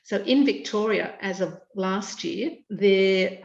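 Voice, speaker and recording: A woman in her sixties with an Australian accent, an educator explaining things in a measured, informative way over a video call from home. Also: mid-range voice, vocal fry, a little mellow. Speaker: educator and curriculum consultant. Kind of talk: video call interview answers. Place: home room, indoors. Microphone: webcam on a video call. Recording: echoey room over a dull video call.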